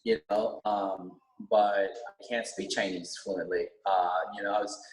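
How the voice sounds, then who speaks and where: Middle-aged male teacher, Vietnamese first language, earnest and halting, recorded in a reverberant room. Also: deep voice, level tone. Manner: halting, many restarts. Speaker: teacher and school board candidate. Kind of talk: earnest interview talk. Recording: roomy echo.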